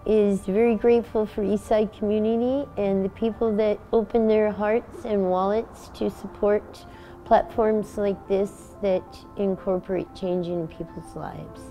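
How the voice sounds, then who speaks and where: A middle-aged woman speaking reflectively in a personal interview, earnest and halting, pausing mid-phrase. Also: mid-range voice, mellow. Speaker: street paper vendor. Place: indoor room. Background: music.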